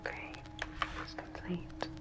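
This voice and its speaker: Slow whispering sinister woman scientist, acted in ASMR. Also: natural voice. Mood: clinical, quietly sinister. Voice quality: breathy whisper. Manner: slow, clinical, detached, measured. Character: calm sinister female sleep clinic scientist experimenting on patient. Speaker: ASMR roleplay performer. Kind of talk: whispered ASMR sci-fi horror roleplay.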